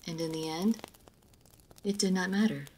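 Woman acting a low-voiced, reserved aristocratic vampire lady. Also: a little mellow, husky, poor audio. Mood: reserved, resigned. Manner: formal, measured, guarded. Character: reserved aristocratic female vampire, formal and melancholy. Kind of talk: roleplay audio drama addressed to the listener.

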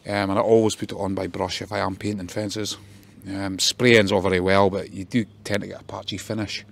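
Man, low monotone voice, tradesman narrating his own job vlog outdoors on a handheld phone, faint background ambience. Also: a little fast, vocal fry. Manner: plain, practical, with many ums. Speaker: fencing contractor. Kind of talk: casual work vlog walkthrough. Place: outdoors in a garden.

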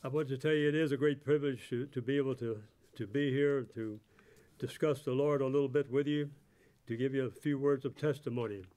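Halting man in his eighties giving testimony. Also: medium pitch, fairly even intonation, warm tone. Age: 80s. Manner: halting, repeats words. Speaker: church member giving testimony. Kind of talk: church testimony, read partly from notes. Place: church sanctuary at a pulpit. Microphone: microphone on a stand at the pulpit.

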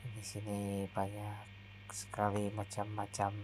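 Slow, low-voiced man touring a buffet. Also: faint music behind. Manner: steady, slow descriptive narration. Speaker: food vlogger. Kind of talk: narrated restaurant buffet tour. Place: hotel restaurant, indoors.

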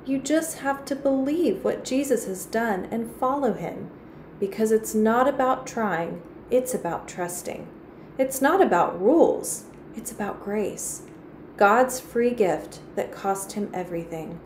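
A woman's voice: a little high, unhurried, darkish tone, natural voice. A woman reading the words of an earnest converted male preacher in a storybook, emphatic and heartfelt. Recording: echoey room with faint noise.

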